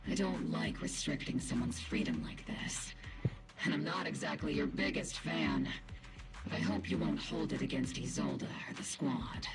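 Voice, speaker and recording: A woman's voice: a bass voice, very animated, moderate pace, vocal fry, exaggerated voice. A woman voicing a stern, smirking army captain in a video game, with a dry, commanding manner. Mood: grave. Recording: loud music underneath. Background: loud game music.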